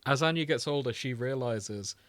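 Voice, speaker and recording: A man's voice: medium pitch, moderate pace, a bit bright, dry recording. A man co-hosting a podcast in casual chat, telling stories with mock quotes. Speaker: podcast co-host.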